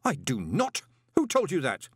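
A man voicing a glib, quick-witted male swindler turned postmaster in an audiobook, brisk, assertive and persuasive. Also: fairly high pitch, wildly swooping pitch, a bit bright, no room sound, clean studio sound, pitched above the performer's own voice, heavily acted. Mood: indignant. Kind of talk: audiobook character voice.

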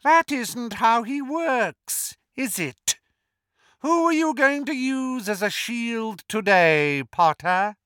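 A man voicing a furious, snake-like dark wizard villain in an audiobook, taunting and theatrical in his menace. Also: very high voice, animated, slow pace, clean tone, no echo, studio quality, voice pushed up, heavily acted. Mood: menacing, mocking.

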